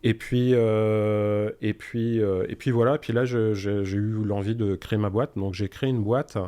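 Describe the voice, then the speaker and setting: Canadian-born guy in his 40s, lowish voice, chatty interview guest, restarts sentences a lot. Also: fairly even intonation, measured pace, warm tone. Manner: informal, explains with examples and restarts often. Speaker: startup cofounder guest. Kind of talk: casual conversational interview.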